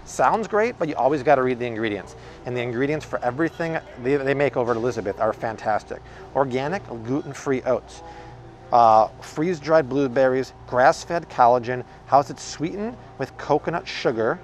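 A man's voice: mid-range voice, slight background noise. A man in his thirties, an enthusiastic food vlogger, chatting casually through a face mask while walking a large supermarket aisle, filmed on a close handheld camera. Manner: enthusiastic, punchy, addresses viewers as fam. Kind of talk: casual grocery haul commentary. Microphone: handheld camera close up, wearing face mask.

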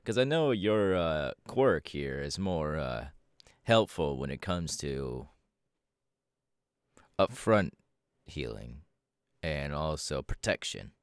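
A man playing a proud, laid-back superhero mentor in an ASMR audio roleplay, talking casually with drawling, hesitant pauses. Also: a bass voice, very animated, smooth, dry recording, studio quality, exaggerated voice. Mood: proud, encouraging.

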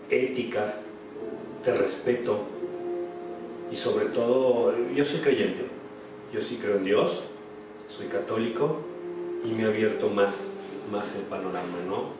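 A Mexican man in his forties giving reflective answers as an actor being interviewed. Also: on the low side. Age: 40s. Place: small office indoors. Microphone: camera mic at a distance. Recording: echoey, distant, dull, noisy room.

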